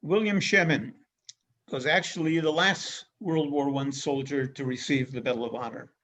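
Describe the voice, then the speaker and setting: A man giving an illustrated history lecture as a guest speaker, speaking in a measured way with frequent pauses and fillers. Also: on the high side, fairly bright tone. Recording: dull lo-fi recording.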